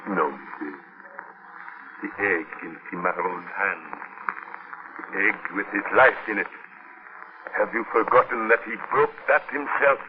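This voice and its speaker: An actor playing a solemn, ominous voodoo man with slow, formal, deferential speech in 1940s radio horror style. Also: on the low side, natural voice. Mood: sly, grave. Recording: noisy, tinny old radio recording.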